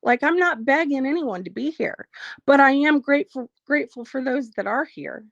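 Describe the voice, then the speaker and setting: Livestream host: a woman with a slightly higher voice, a little hoarse and blunt. Dry, slightly dull home mic. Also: expressive, normal speed, fairly bright tone, dry recording. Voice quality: frank, blunt, swears freely. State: losing her voice, a bit hoarse. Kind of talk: casual livestream chat reading comments.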